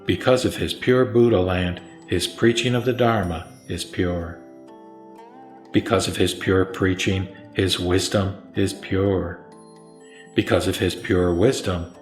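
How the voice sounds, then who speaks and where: A man with a low voice narrates sacred scripture. He recites in a solemn, formal way, with music faintly behind him.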